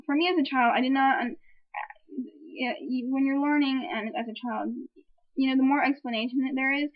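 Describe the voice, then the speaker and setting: A young woman in her twenties with a high voice, reviewing a movie in an exasperated, rambling rant full of swearing. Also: fairly even intonation, fairly quick, highs cut off. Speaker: film reviewer. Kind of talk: ranting casual movie review. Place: room at home with a piano.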